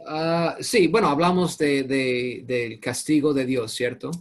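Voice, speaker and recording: A man with English as his first language leading an online Bible study over a video call, often halting and searching for words. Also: mid-range voice, fairly even intonation. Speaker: Bible teacher.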